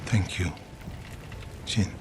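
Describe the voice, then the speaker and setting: An actor playing a grieving, vengeful warrior monk in a video game, speaking in a solemn, brooding and terse way. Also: male voice, drawn-out words, music in the background. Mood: bitter, resigned. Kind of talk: dramatic video game cutscene acting.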